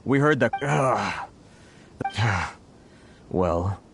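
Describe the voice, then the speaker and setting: A man's voice: lowish voice, lively intonation, drawn-out words, gravelly, a bit bright, slight background noise, full character voice. An actor playing an older, flustered merchant in a video game, hesitant and sighing as he stammers through his words. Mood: worried. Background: game music.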